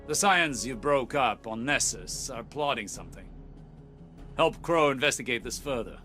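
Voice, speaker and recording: Cool spymaster, clipped, measured, mid pitch, sharp tone, video game dialogue. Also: male voice, expressive, faint music behind. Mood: intrigued, measured. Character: cool, calculating male mentor and spymaster.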